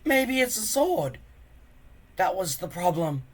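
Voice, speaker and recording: A reader voicing a wry, kindly boy sidekick in a children's storybook, in a high, exclaiming voice. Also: big pitch swings, slow pace. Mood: gentle, hesitant.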